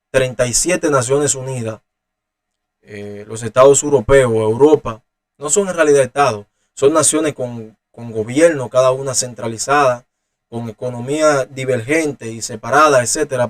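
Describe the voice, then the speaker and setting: A man from the Dominican Republic with a Dominican Spanish accent, giving an unhurried Bible teaching with frequent fillers. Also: medium pitch. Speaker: preacher.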